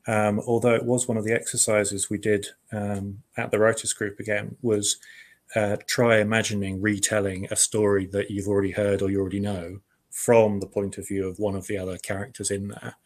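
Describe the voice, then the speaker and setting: A man's voice: on the low side, flat delivery, brisk. A man speaking as an interviewed writer, chatting casually and thoughtfully, a little hesitant, with self-deprecating humour.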